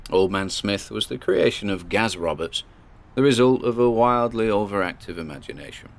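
A man's voice: low-pitched, creaky. A man with a British accent playing a wary, chatty paranormal investigator narrating his exploration in a podcast-style audio drama, with theatrical asides. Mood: haunted, ominous.

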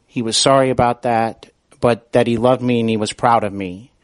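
A man hosting a podcast, speaking in a polite, somewhat halting way. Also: mid-range voice, fairly even intonation, no echo. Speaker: podcast host. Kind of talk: interview talk and show announcements.

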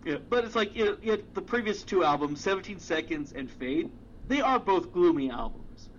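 Rambling man, slightly high, dull recording. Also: sing-song, normal speed, slight background noise. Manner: rambling, many fillers and restarts, swears casually. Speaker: music podcast co-host. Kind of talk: casual chat.

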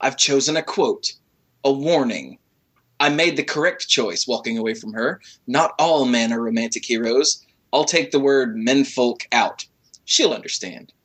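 A man co-hosting a comedy podcast, reading a passage aloud in a halting way and stumbling over words. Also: mid-range voice, fairly bright tone, poor audio. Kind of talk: comic dramatic reading.

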